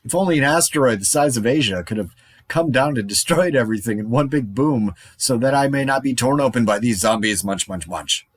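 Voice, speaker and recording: A man's voice: mid-range voice, animated, fairly quick, breathy edge, dry recording. An actor playing a lone man surviving a zombie apocalypse, talking in a rambling, darkly wry monologue for an audio drama. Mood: musing.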